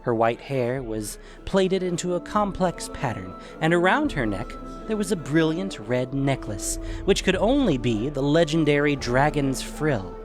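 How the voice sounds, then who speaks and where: Animated male fantasy story narrator. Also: on the high side, a little slow, few pauses, over music. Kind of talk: dramatic scripted story narration.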